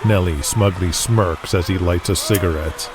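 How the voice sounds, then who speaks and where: Low-voiced male drama narrator. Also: moderate pace, noisy background. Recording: loud music behind the voice.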